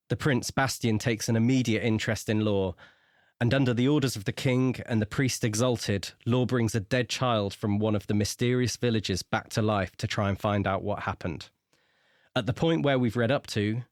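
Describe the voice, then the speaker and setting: Low-pitched man, casual podcast co-host. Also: dry recording, studio quality. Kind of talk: casual book discussion with plot recap.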